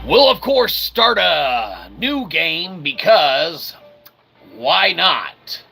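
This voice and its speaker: A man's voice: on the high side, big pitch swings, very slow, vocal fry, bright, forceful tone, soft background music. A man hosting a casual, rambling game livestream in a jokey, mock-earnest showman manner. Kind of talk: casual rambling let's-play commentary.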